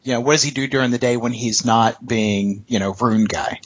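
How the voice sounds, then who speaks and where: A man's voice: mid-range voice, a little fast, breathy edge, dull audio. A man as game master, casually questioning a player and explaining rules in an agreeable, tentative way. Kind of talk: casual interview-like chat about tabletop gaming.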